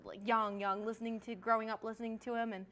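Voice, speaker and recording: Woman, high pitch, giggly, gushing, relaxed conversational film discussion. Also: quick pace, a little hiss or hum. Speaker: podcast guest or co-host.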